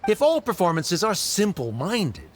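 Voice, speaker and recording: A man's voice: sits high, huge pitch swings, a little slow, a little hiss or hum, exaggerated voice. A man playing a pompous, stern academy official in a video game, lecturing formally and haughtily. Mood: condescending. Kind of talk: video game character voice acting.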